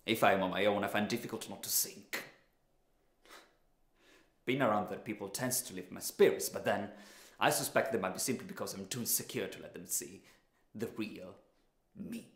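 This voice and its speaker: An actor playing a despairing, insecure man in his thirties in a confessional, halting dramatic monologue. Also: on the low side, close to an everyday voice. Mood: gloomy, confessional. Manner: confessional, halting, deliberate pauses. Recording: roomy, echoing sound.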